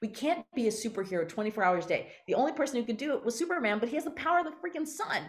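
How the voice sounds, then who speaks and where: A woman talking as an interview guest, effusive and emphatic, digressing as she goes in an animated conversational style. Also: on the high side, racing.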